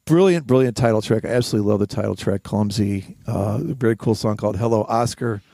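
A man co-hosting a podcast, giving casual album commentary in a halting but enthusiastic manner. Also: fairly low pitch, gravelly, dark tone, dry recording, studio quality. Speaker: podcast co-host.

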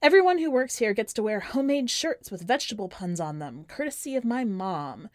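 A woman's voice: a little high, sing-song, brisk, no room sound, clean studio sound. A woman hosting a podcast and reading a first-person short story in a wry, conversational and emphatic way. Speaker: podcast host and story reader.

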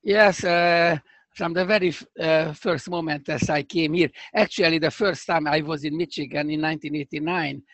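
Halting older man with Hungarian accent. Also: on the high side, fairly even intonation, fairly bright tone, no echo. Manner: halting, searching for words, many restarts. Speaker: professor guest. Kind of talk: remote interview conversation.

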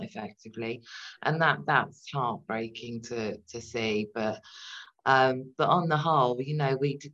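A woman's voice: extremely low pitch, a bit bright. Reflective British woman, slightly muffled. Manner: reflective, many ums and restarts. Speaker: guest entrepreneur. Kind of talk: podcast interview.

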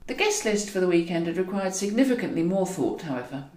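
A woman's voice: on the low side, lively intonation, fast, darkish tone. A woman reads scripted fiction as a narrator, with a wry, wordy storytelling manner. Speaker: author reading own audiobook. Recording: roomy echo, distant from mic.

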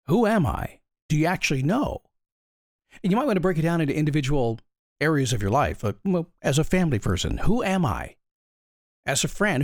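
Male motivational host, raspy, upbeat and lively, chatty, dry close mic. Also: a little high, no echo. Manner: chatty, keeps asking the listener questions. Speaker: motivational podcast host and coach. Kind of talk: motivational monologue.

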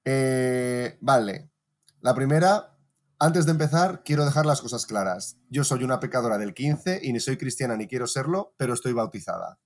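A man hosting a casual podcast chat and running a quiz, relaxed and laughing often. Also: mid-range voice, moderate pace. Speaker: podcast host.

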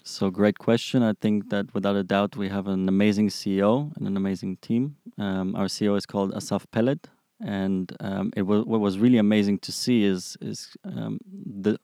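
A man's voice: on the low side, vocal fry, dark tone, no room sound, studio quality. A man in his twenties from Germany, answering interview questions conversationally as a guest. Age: 20s. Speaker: interview guest, startup employee.

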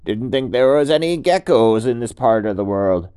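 A man voicing a confident, easily amazed mountain goat in a bedtime story, with boastful, drawn-out exclamations. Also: medium pitch, animated, dark tone, clear, resonant tone, pitched above the performer's own voice, lightly acted.

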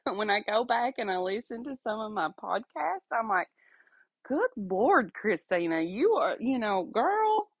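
A woman's voice: medium pitch, dry recording. A woman, hosting a casual faith podcast from home, chatty and apologetic, laughing often and asking "okay?", her voice stuffy and sniffly. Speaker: podcast host. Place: at home alone.

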